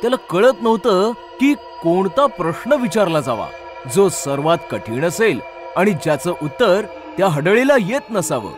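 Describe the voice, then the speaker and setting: Male narrator for horror tales: dramatic, lively swings in pitch, high register, clear music bed. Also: normal speed. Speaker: storyteller, narrator. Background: music under the voice.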